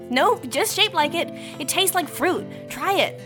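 A woman's voice: very high-pitched, expressive, a little slow. An actress playing an eager, cheeky young woman hosting a homemade video in an audio drama, breezy and full of casual slang. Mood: cheerful, coaxing. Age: young adult. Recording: music behind the voice.

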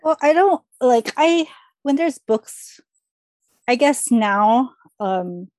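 A woman's voice: high voice, expressive, slow, darkish tone, no echo. A woman speaking as a podcast guest in casual chat, telling things by quoting her own thoughts.

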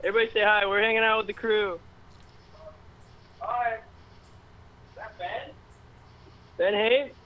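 A man doing a rowdy high-voiced Canadian caller on a tinny phone. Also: fairly even intonation, measured pace, long pauses. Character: rowdy young male caller in a car with friends. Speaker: prank caller.

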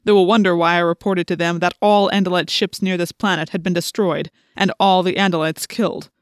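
Woman acting out a male alien tyrant, cold, demanding, menacing, forceful slow commands, storybook villain, dry close sound. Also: on the low side, fairly even intonation, no room sound, natural voice. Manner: slow, commanding, threatening delivery.

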